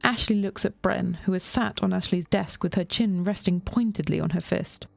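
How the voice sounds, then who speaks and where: A woman narrating scripted fiction in a measured way, with dry wit. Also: fairly low pitch, gravelly, a little mellow, dry recording.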